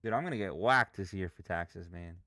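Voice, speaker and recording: A man's voice: low-pitched, a little fast, pushed and bright, no echo, clean studio sound, faint music behind. A man streaming live trades, talking casually, restless and impatient, swearing freely as he talks. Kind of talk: casual live trading commentary.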